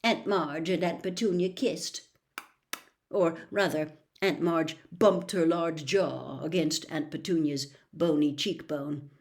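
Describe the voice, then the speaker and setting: A woman narrating a story as an audiobook reader, expressive and dramatic, with emphatic phrasing. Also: low-pitched, takes it slowly.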